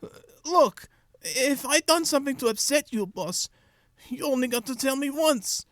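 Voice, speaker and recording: Cowering comic-book henchman: very high voice, hesitant, pleading, dry close sound. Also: expressive, normal speed, a bit bright, no echo. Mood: fearful, pleading. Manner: hesitant, cowering, uneducated grammar. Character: timid, pleading male henchman begging for his life. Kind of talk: comic dub voice acting.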